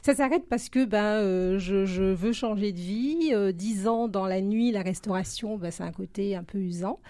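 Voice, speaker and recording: A woman's voice: mid-range voice, normal speed, warm tone, no room sound, studio quality. A woman in her forties from Guadeloupe, speaking conversationally as a guest in a radio interview. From Guadeloupe, then Paris from age 13. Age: 40s. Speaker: interview guest, business founder.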